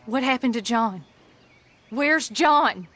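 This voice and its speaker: A woman's voice: a little high, lively intonation, takes it slowly, fairly bright tone, soft background music. A woman playing a tough, anguished young frontier woman in a video game, urgent and blunt. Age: young adult. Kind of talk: cinematic western game acting.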